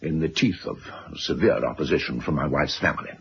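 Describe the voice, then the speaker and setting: A man's voice: very deep voice, brisk. A middle-aged man playing a brusque, self-made press magnate and stern father in a radio drama, curt and pompous. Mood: self-satisfied. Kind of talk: 1970s radio drama acting. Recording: dull, noisy vintage radio recording.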